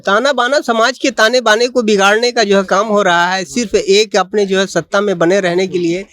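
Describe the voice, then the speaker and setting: High-pitched man. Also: steady tone, normal speed, fairly bright tone. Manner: emphatic, repetitive, leaning on a verbal tic. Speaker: member of the public being interviewed. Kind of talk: impromptu street interview opinion.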